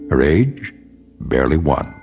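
A man performing as a solemn, ominous narrator of eerie tales in a radio drama, deliberate and portentous. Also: rumbling low, very animated, gravelly, a little mellow, faint music behind, full character voice.

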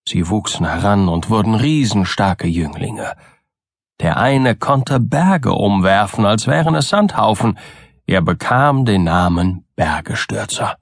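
A male audiobook narrator tells a children's fairy tale. His delivery is slow and deliberate, with lively, dramatic swings in intonation. The sound is dry and close, and his pitch sits in a middle male range. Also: dry recording.

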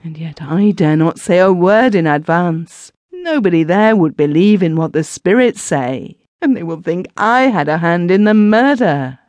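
A woman's voice: deep voice, unhurried, hardly any pauses, a little mellow, dry recording. A reader voicing a terrified female spirit medium who pleads in frantic, gasping bursts, as in an audiobook. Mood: panicked. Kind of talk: audiobook character reading.

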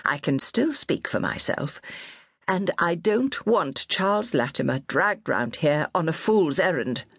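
A frail but obstinate little old lady answers sharply, her words slow, breathy and half-whispered, as a woman reader plays her. The voice stays husky and close. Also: low-pitched, animated, fairly bright tone, no room sound, voice pushed up, heavily acted.

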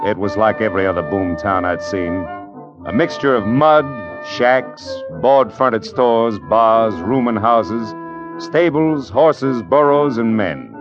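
An American actor playing a steady, wry frontier man in an old radio western, measured and dry, sometimes narrating his own tale. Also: medium pitch, lightly acted. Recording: muffled vintage radio recording with music.

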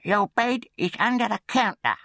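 A man's voice: high-pitched, animated, normal speed, gravelly, pushed and bright, dry recording, studio quality, pitched above the performer's own voice, heavily acted. A man voicing a harsh, domineering middle-aged undertaker's wife in a scolding, scornful manner. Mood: curt. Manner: scolding, bossy.

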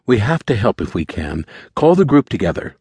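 A man's voice: lowish voice, big pitch swings, a little fast, a little mellow, slightly rough, no room sound, pitched above the performer's own voice, heavily acted. A man voicing a compassionate, earnest woman who insistently urges others on in a thriller story. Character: compassionate, earnest woman urging the group to help.

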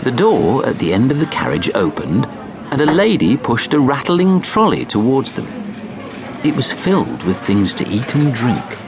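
A man's voice: medium pitch, big pitch swings, moderate pace. A man narrating a children's storybook as an audiobook reader.

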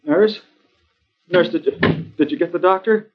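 An American actor playing an anxious, injured man in bed in an old-time radio drama, halting, stammering and urgently pleading. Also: sits high. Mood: agitated, pleading. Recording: muffled vintage radio broadcast recording.

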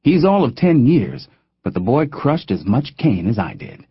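A man's voice: mid-range voice, sing-song, a little slow, slightly rough, no echo, muffled recording, full character voice. A man voicing a proud, hopeful farmer father from the rural South in a storybook memoir, with folksy, plain-spoken country speech. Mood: firm, proud.

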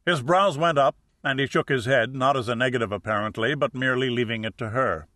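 Bright-voiced man narrating a detective novel. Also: medium pitch, fairly quick, dry recording, clean studio sound. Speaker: audiobook narrator. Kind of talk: scripted first-person detective novel narration.

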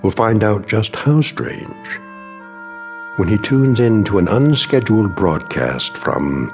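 A man's voice: fairly low pitch, expressive, darkish tone, soft background music. A man performing as a grave, portentous storyteller narrating an eerie radio anthology. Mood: ominous, knowing. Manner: measured, portentous. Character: grave, ominous male storyteller of eerie tales.

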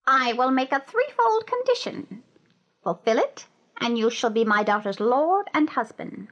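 Woman playing an imperious old witch queen, slow and swooping. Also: sits high, sing-song, a bit bright, dull audio, full character voice. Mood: commanding. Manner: slow, measured, menacing pronouncements.

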